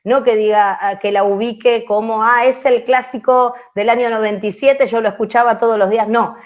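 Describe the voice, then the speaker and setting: A woman's voice: fairly high pitch, fairly even intonation, brisk. An Argentine woman explaining in an open class, didactic, repeating phrases for emphasis. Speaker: radio language teacher. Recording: dull lo-fi audio.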